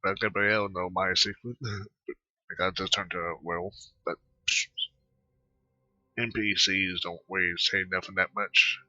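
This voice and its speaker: A man's voice: low-pitched, steady tone, normal speed, long pauses, pushed and bright, no echo. A man giving casual, offhand commentary as he plays through a video game. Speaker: gamer, let's-play host. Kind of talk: casual game playthrough commentary, reading some dialogue aloud. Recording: dull, band-limited close dry microphone.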